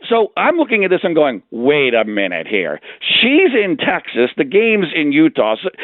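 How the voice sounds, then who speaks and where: Man, political commentator, emphatic, argumentative, lively intonation, muffled lo-fi audio with little room sound. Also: fairly high pitch, no echo. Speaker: guest commentator on a radio show. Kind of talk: opinionated political talk.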